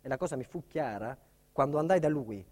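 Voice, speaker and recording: A man's voice: mid-range voice, animated, a little fast, darkish tone, close to an everyday voice. A man playing a defiant, indignant building contractor, delivering an emphatic theatrical monologue about his ordeal. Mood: earnest recounting. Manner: emphatic, dramatic storytelling. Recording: reverberant hall with long echo.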